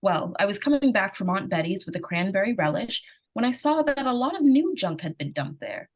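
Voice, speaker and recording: A woman's voice: mid-range voice, sing-song, a little fast. Woman playing an earnest, folksy little girl storyteller. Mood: eager, earnest. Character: excitable, earnest little girl narrating her scare. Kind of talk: old-time radio drama narration. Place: remote live performance over video call.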